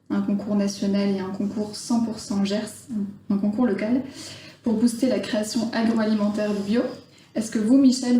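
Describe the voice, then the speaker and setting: A woman's voice: mid-range voice, dark tone. A woman from northern France working as an interviewer, putting prepared questions to her guest. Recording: distant mic, echoey room, faint noise.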